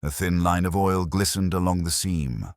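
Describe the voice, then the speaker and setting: Very deep-voiced man narrating a mystery. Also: normal speed, dry recording, clean studio sound. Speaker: audiobook narrator. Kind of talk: scripted mystery story narration in first person.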